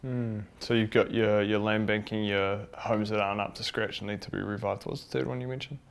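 A man's voice: lowish voice, fairly even intonation, a little fast, gravelly, slight background noise. A man in his thirties hosting an interview, asking loose, searching questions packed with fillers. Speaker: host and interviewer. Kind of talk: conversational interview. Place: outdoors on a street and in an indoor room.

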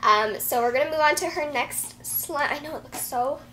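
A woman's voice: sits high, moderate pace, fairly bright tone. A young teenage girl hosting a casual, chatty review to camera in an enthusiastic, gushing way. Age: young teen. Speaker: vlog host reviewing slime. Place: carpeted bedroom, indoors. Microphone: camera a few feet away. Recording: slightly noisy room recording with clicks.

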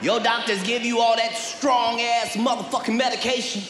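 High-pitched man shouting stand-up jokes. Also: steady tone, normal speed, noisy background. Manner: loud, shouting punchlines. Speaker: stand-up comedian. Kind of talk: stand-up routine. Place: large theater stage. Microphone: handheld microphone. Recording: roomy hall with loud crowd.

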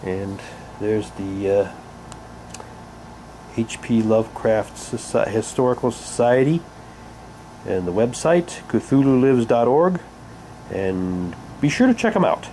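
A man's voice: fairly low pitch, expressive, slow, long pauses, dark tone, slight background noise, rough recording. A man giving casual, unscripted unboxing commentary, rambling and repeating himself, recorded indoors on a handheld camera's microphone. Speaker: hobbyist vlogger. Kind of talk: casual unboxing commentary, sometimes reading aloud. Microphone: handheld camera's built-in microphone.

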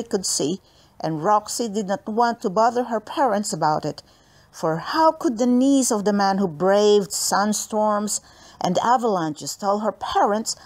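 Woman reading a children's story aloud. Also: mid-range voice. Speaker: audiobook reader. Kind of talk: expressive children's story narration.

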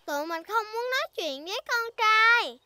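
Very high, chirpy little girl pig. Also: animated, normal speed, loud music behind the voice. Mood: proud. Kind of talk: dubbed children's cartoon acting.

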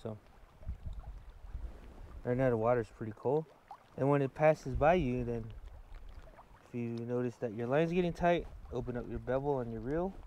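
Relaxed man explaining fishing outdoors. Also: mid-range voice, moderate pace, long pauses, highs cut off, slight background noise. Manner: relaxed, explains step by step with fillers. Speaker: fishing vlogger. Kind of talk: casual how-to narration while fishing. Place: outdoors standing in a river.

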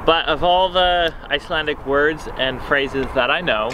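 A man's voice: on the high side, measured pace, noisy background. A young man co-hosting a vlog, chatting playfully to camera outdoors in an open field. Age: young adult. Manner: playful, laughs at his own jokes. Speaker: vlog co-host. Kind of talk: casual chat to camera. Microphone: camera mic on a tripod, medium shot.

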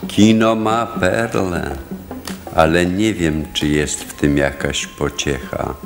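An actor playing a grave village elder who leads a chorus, speaking in a solemn, measured way in a radio drama. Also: male voice, deep voice, creaky, in a large hall, faint music behind, full character voice. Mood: grave, uncertain. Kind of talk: theatrical radio drama acting.

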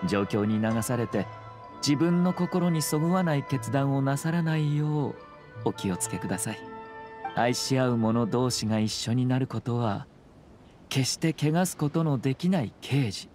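Courteous young aristocratic official, played by a man, mid-range pitch, unruffled and formal, anime game dialogue over clear background music. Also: animated, lightly acted. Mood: earnest, reassuring. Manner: composed, courteous, measured. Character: composed, courteous young nobleman and commissioner. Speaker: government commissioner.

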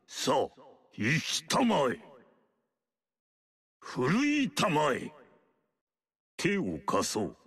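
A man voicing an aloof male sage mystic in a video game, speaking in a measured, lofty, archaic way. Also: fairly high pitch, wildly swooping pitch, a bit bright, breathy edge, full character voice. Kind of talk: game character voice lines.

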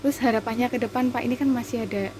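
A woman's voice: fairly high pitch, fairly even intonation, rapid-fire, noisy background. A woman reporter asking market vendors questions and prompting them with hums of assent. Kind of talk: news field interview. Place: indoor market basement.

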